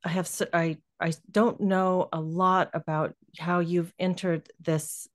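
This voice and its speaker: A young woman with a notably low voice hosts an interview over a video call. She speaks in a friendly, slightly hesitant manner, heard through a webcam from a room indoors. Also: little pitch movement, measured pace. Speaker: podcast host.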